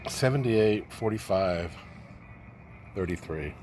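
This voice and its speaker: A man casually showing off an old radio phonograph he restored, talking in a low-key, hesitant way that often trails off mid-sentence. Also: expressive, slow, a little mellow. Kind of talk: casual show-and-tell demo. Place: near the radio, away from the house. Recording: noisy amateur recording.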